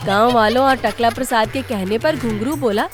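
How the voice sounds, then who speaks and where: A woman's voice: fairly high pitch, moderate pace. A woman delivering scripted narration for a cartoon story. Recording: loud music behind.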